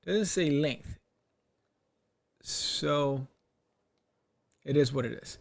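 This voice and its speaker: A man with a somewhat high-pitched voice and plenty of gravelly fry hosts a podcast. He gives casual advice, reading passages aloud and commenting on them. His delivery is animated, punctuated with rhetorical questions and check-ins. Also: extremely slow. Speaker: podcast host.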